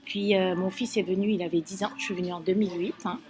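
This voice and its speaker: A woman's voice: on the low side, steady tone. Earnest woman in her fifties. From Algeria. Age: 50s. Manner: earnest, hesitant, many pauses and fillers. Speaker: interviewee. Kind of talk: casual interview answers.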